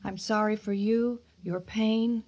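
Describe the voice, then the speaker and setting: Sorrowful middle-aged mother, mid-pitched, earnest, imploring, radio drama. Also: steady tone, slow, a little mellow, muffled recording. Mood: hurt, concerned. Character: pleading, sorrowful mother of a grieving son. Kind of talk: old-time radio drama acting.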